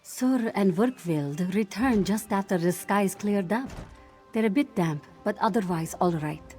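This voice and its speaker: A woman's voice: mid-range voice, animated, unhurried, warm tone, natural voice. An actress playing a composed, commanding female privateer captain in a fantasy video game. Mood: composed, businesslike. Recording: game music behind the voice.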